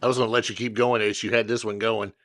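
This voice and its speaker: A man's voice: on the low side, little pitch movement, quick pace, a bit bright. Rambling podcast co-host, man. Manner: rambling, restarting questions. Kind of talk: casual interview chat.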